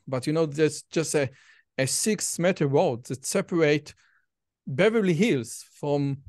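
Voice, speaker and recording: Slow-talking host, dry sound. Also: male voice, on the high side, a little mellow, dry recording. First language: Hebrew. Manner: slow, hesitant, many fillers, enthusiastic laughter. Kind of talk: casual interview.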